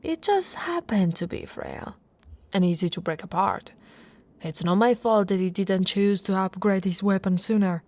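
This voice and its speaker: A woman's voice: medium pitch, normal speed, darkish tone, dry recording, close to an everyday voice. A woman from Italy voicing an affectionate, playfully boastful orc warrior wife in an intimate, teasing ASMR roleplay, often dropping to a whisper. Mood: amused, boastful.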